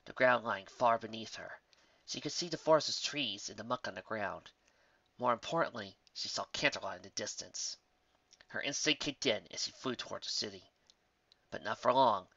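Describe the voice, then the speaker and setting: A man's voice: medium pitch, vocal fry, bright, forceful tone, no room sound, dull audio. A man reading a scripted story aloud as its narrator. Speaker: narrator reading fan fiction.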